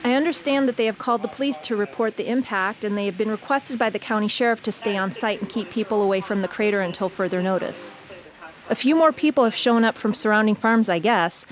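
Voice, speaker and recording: A woman's voice: mid-range voice, quick pace, close to an everyday voice. A woman playing a conversational, slightly uneasy field reporter describing a scene live in a radio drama. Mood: intrigued, reporting.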